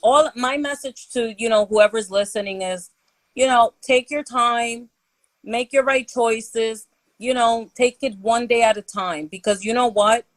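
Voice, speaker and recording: A woman's voice: fairly high pitch, steady tone, unhurried, dry recording, poor audio. A woman co-hosting a podcast, talking in a laid-back, reassuring way with many fillers. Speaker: podcast co-host. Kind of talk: casual chat.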